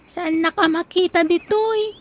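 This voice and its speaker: A small, timid girl in a radio drama, her voice extremely high and childlike. She speaks with an Ilocano Filipino accent, meek and frightened, over faint background noise. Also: steady tone, unhurried. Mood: timid, grateful. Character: frightened, mistreated little girl, timid and grateful.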